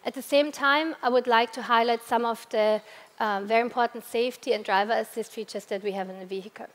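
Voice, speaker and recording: A woman's voice: on the high side, fairly even intonation, fast talker. A woman from Austria with an Austrian accent giving a product presentation at a press launch, explaining step by step with occasional hesitations and restarts. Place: large event hall at a press launch. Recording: long reverb of a large hall.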